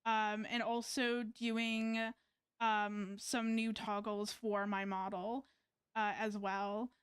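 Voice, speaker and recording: A woman's voice: mid-range voice, level tone, slow pace, clear, resonant tone, no echo. A woman game streamer chatting casually in a rambling, hesitant way, close to the mic. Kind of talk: casual livestream chat, reading game dialogue aloud.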